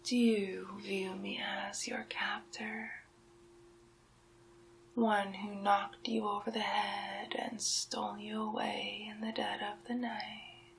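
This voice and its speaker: A woman playing a sly, possessive medieval witch in a hushed, drawn-out, teasing ASMR roleplay. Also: medium pitch, level tone, creaky, slight background noise, rough recording. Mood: coaxing. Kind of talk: ASMR roleplay, soft speaking and whispering.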